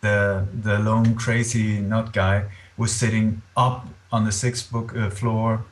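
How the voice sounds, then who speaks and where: Middle-aged male interview guest, Scandinavian accent, lowish flat-toned voice, halting and earnest. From born in Denmark, grew up in Sweden.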